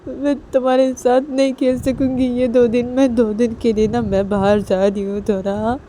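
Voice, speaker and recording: A woman's voice: fairly high pitch, flat delivery, normal speed, warm tone, slight background noise, voice pushed up, close to an everyday voice. A woman voicing a tearful, clingy young mother, pleading repeatedly in a sobbing, whiny delivery. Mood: sobbing, sad. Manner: repeats short pleas insistently.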